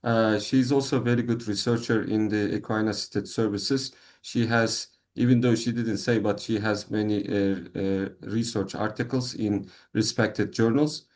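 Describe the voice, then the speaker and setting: Hesitant low-voiced man hosting a meeting. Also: fairly even intonation, normal speed, hardly any pauses, a little mellow. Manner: hesitant, many fillers and restarts. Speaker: meeting host. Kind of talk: online meeting, casual moderating.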